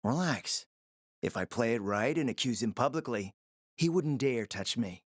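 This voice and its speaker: A man performs a shrewd, foul-mouthed operator in a ruined-city video game. His delivery is lively and swings in pitch, conspiratorial and emphatic with a sardonic edge. The sound is dry and studio-tight. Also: normal speed, no room sound, lightly acted. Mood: calculating, persuasive. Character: scheming, foul-mouthed post-apocalyptic city operator, confident and calculating. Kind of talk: dramatic video game dialogue.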